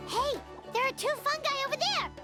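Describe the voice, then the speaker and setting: A woman voicing a small, childlike, excitable and easily indignant companion in a video game, with a squeaky voice. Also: brisk, heavily acted. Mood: eager. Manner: exclamatory, talks of herself in third person. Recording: music behind the voice. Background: game music.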